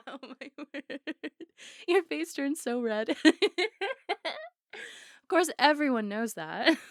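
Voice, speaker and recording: A woman's voice: fairly high pitch, no room sound, clean studio sound, heavily acted. A woman playing a flirty, playful, affectionate barista on a date in an intimate, teasing ASMR roleplay. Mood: teasing, amused.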